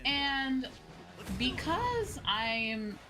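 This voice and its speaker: A young woman chatting casually on a game livestream, rambling, expressive and informal, swearing freely. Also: high-pitched, extremely slow. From outside Japan. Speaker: VTuber game streamer. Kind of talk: casual livestream chat over a video game. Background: game music.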